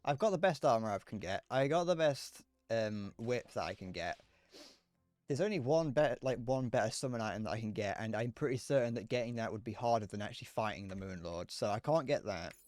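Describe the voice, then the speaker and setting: A young British man with a slightly whiny, mid-pitched voice chats casually while he plays a game. He rambles, restarts his sentences and makes fun of himself, and swears now and then. His voice sounds dry and close, with little room sound. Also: expressive, a little fast, a little mellow, no echo, faint music behind. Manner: rambling, self-deprecating, swears, frequent restarts. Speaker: streamer. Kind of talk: casual live gameplay commentary.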